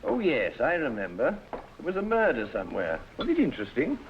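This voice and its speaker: A man's voice: a little high, huge pitch swings, a little fast. A man playing a brilliant, eccentric amateur chemist detective in an old-time drama, musing and politely distracted. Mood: airy curiosity. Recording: noisy, clicky, muffled old broadcast recording.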